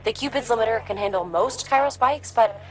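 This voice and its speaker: An actress voicing a serious, gentle woman scientist who speaks in an earnest, reflective way. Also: fairly high pitch, fairly quick, faint music behind, close to an everyday voice, rough recording. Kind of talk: video game cutscene dialogue.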